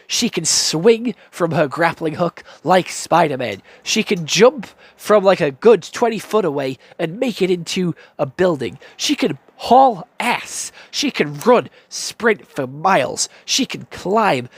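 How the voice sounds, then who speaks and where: A young man with a British accent reviews a game in loose, excited bursts, full of swearing and stammered restarts. His voice sits a little high for a man. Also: breathy edge, no room sound. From United Kingdom. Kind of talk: casual profane game review.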